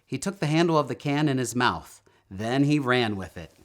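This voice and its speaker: A man narrates a children's picture book with a highly animated, swooping delivery. His voice sits at a middle pitch, and he tells the story deliberately and expressively. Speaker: storybook reader.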